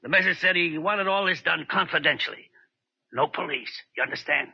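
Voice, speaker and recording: An American actor playing a blustering, money-grubbing detective agency boss with a sharp, gravelly voice in a 1940s radio drama. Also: male voice, fairly high pitch, fairly quick, heavily acted. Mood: wheedling, insistent. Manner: blustery, wheedling. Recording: dull vintage radio recording.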